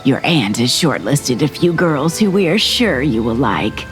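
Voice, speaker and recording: A woman's voice: extremely low pitch, sing-song, measured pace, full character voice. An actress playing a fretting middle-aged mother in an audio drama, coaxing and fussy, music behind her. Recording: loud music under the voice.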